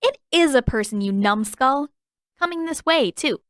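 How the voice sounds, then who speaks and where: A woman voicing a brash, impatient and scornful young tomboy girl in a story reading, with a loud, taunting delivery. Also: high voice, lively intonation, moderate pace, no room sound, clean studio sound, pitched above the performer's own voice. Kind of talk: character voice in an audiobook-style fan fiction reading.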